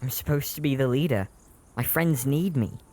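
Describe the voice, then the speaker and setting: A man's voice: mid-range voice, normal speed, darkish tone, natural voice. A man playing an earnest, guilt-ridden young street boy who leads reluctantly in an audio drama. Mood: determined. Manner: earnest, troubled. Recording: music under the voice.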